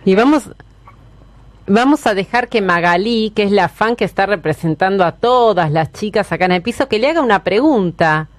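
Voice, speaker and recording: A woman's voice: medium pitch, lively intonation, normal speed, no room sound. A woman with an Argentine accent hosting a casual radio interview. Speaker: radio host. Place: radio studio.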